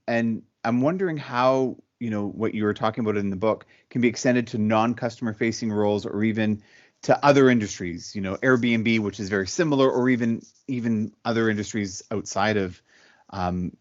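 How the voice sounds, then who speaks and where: A man's voice: lowish voice, fairly quick. A man hosting a virtual author interview, attentive and affirming. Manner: attentive, affirming, many "right"s. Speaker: host. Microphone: video call. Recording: muffled video call audio.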